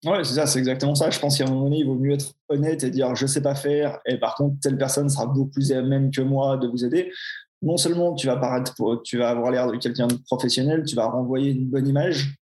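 Swiss French guy in his 40s, flat delivery, roomy echo; frank, self-mocking interview guest. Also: mid-range voice, fast. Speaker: personal trainer, podcast guest. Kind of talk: casual interview chat.

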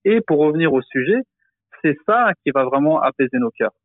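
A man who teaches the Quran, explaining slowly in a podcast discussion, hesitant, with many fillers and restarts. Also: fairly high pitch, animated, dry recording, narrow, tinny audio.

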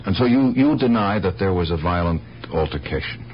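Insistent police lieutenant, swooping delivery. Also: male voice, deep voice, expressive, fairly quick. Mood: probing, skeptical. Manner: insistent, probing questioning. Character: dogged, skeptical police lieutenant, a concerned nephew. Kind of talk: radio drama acting. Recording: dull, hissy old radio broadcast.

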